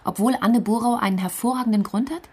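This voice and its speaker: An actress playing a self-assured, blunt public prosecutor in a crime radio drama, speaking directly and incisively. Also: female voice, on the high side, fast, warm tone, husky. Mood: probing.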